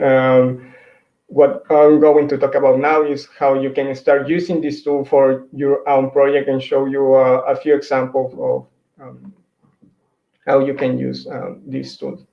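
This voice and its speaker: A man walks through his slides at an ordinary pitch, pausing on fillers and restarting his sentences as he goes. His voice comes through a video-call mic in an echoey room. The sound is somewhat dull and lo-fi. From Mexico. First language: Spanish. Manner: hesitant, many restarts and fillers. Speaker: conference speaker. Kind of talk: virtual tech talk with slides. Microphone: computer mic on a video call.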